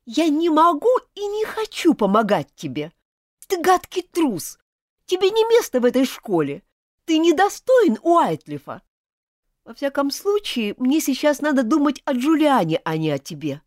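A woman's voice: sits high, huge pitch swings, a little slow, dry recording, clean studio sound, pitched above the performer's own voice, heavily acted. A woman reading aloud, voicing an indignant schoolgirl who scolds and accuses. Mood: scornful. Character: furious indignant schoolgirl, sharp-tongued. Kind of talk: audiobook character voice.

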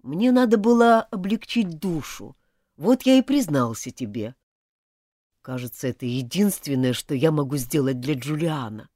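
A woman's voice: deep voice, lively intonation, normal speed, mellow, no room sound, studio quality. A woman voicing a dull ashamed schoolboy. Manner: dull, subdued and mournful. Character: guilt-ridden young schoolboy, ashamed, confessing.

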